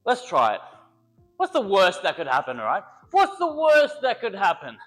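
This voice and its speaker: A man's voice: sits high, wildly swooping pitch, normal speed, a bit bright, faint music behind. A young man, a personal trainer, chatting casually and joking while he works out, a little congested from a cold. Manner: rambling, joking, thinks aloud between sets. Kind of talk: casual workout vlog talk.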